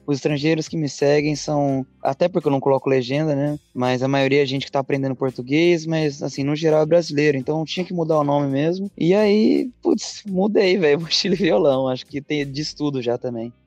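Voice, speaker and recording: A young man talking as a guest in a casual conversation, relaxed and chatty, full of slang fillers. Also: on the high side, a little fast, few pauses, no echo. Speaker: guest, street musician and travel youtuber. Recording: dull lo-fi sound with faint music.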